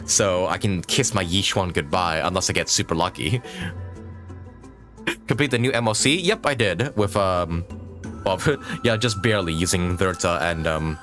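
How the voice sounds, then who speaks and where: A man's voice: deep voice, moderate pace, a bit bright, faint music behind. A man live-streaming a game, chatting casually with viewers in a joking, musing way.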